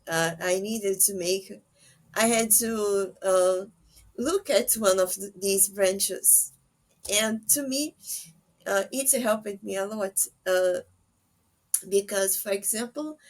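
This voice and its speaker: A woman hosting a casual podcast interview, speaking in hesitant, halting phrases. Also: medium pitch.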